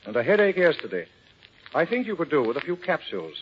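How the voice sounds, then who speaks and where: An actor playing a matter-of-fact middle-aged physician in 1940s radio drama, genial and gently bossy. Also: male voice, a little high, sing-song, fast. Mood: concerned, dry. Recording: muffled, noisy 1940s radio broadcast recording.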